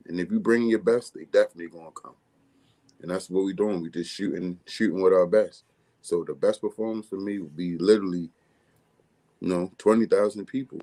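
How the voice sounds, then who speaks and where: A man from with a deep, bass-heavy, mumbly voice, talking casually as a music artist guest in an interview. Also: warm tone. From Baltimore, USA. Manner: mumbly, laid-back streetwise delivery.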